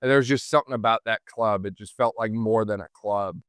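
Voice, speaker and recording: A man's voice: lowish voice, a little fast, a bit bright, clean tone, no room sound, studio quality. A man hosting a podcast, interviewing in an effusive, wordy conversational way. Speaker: podcast host.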